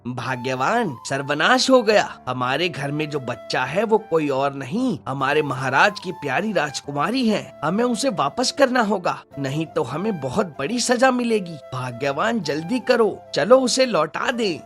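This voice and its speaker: A man's voice: a little high, big pitch swings, measured pace, few pauses, a bit bright, faint music behind. A man playing a fearful poor fisherman husband in a cartoon story, speaking urgently and pleadingly. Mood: alarmed. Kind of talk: Hindi cartoon story acting.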